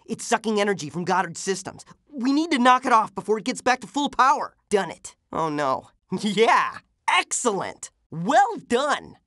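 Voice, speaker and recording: A man's voice: sits high, big pitch swings, moderate pace, sharp tone, no echo, studio quality, full character voice. A man voicing a plucky, wisecracking teenage half-ghost boy superhero with a youthful voice for a video game. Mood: triumphant. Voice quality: youthful, boyish. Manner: exclamatory, wisecracking. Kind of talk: video game character voice clips.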